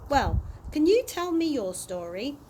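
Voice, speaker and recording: Young girl from a children's story, read by a woman: earnest, caring, inquisitive, very animated pitch, audible background noise. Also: unhurried. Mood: hopeful, curious. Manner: earnest, insistent, questioning. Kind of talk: storybook character reading.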